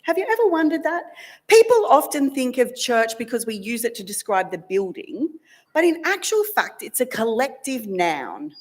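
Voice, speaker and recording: A woman leads a relaxed interview in a church. Her voice sits fairly high and swoops with lively intonation, and she chats with an easy, teasing warmth. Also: normal speed. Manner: chatty, lively, laughs easily. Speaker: minister leading worship.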